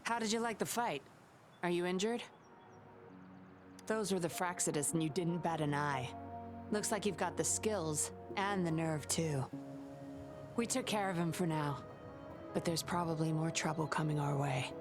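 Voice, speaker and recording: A woman's voice: low voice, normal speed, long pauses, lightly acted. A woman voicing a sly, confident female undercover investigator in a video game, with a coy, composed, teasing manner. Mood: approving. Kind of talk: scripted video game voice acting. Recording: music under the voice.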